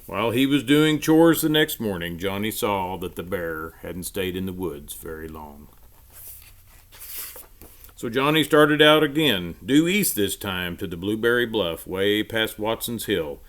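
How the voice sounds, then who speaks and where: A man reading a children's storybook aloud in an expressive, emphatic storytelling manner. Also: lowish voice, a little slow.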